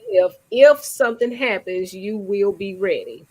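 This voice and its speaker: A woman's voice: mid-range voice, unhurried, darkish tone, poor audio. Woman host with a stuffy cold voice, earnest, run-on talker, casual call. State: congested, stuffy nose. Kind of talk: casual video call chat.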